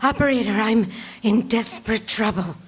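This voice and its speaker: A woman's voice: fairly high pitch, moderate pace, breathy edge. An actress playing a frantic, demanding invalid woman alone at night in a live radio play, fretful and breathless. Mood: panicked. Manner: fretful, breathless, rising into panic.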